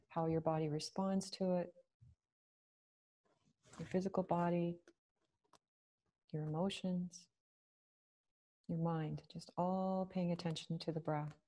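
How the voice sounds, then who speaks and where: A woman leading a guided meditation, speaking slowly and gently with long pauses. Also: fairly low pitch, little pitch movement.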